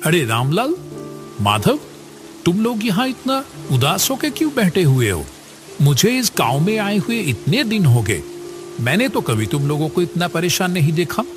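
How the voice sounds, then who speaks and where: A man's voice: sits high, big pitch swings, normal speed, over music. A man voicing a kindly, generous older village headmaster in a cartoon story, chatty and avuncular. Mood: concerned, friendly. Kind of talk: Hindi cartoon story acting.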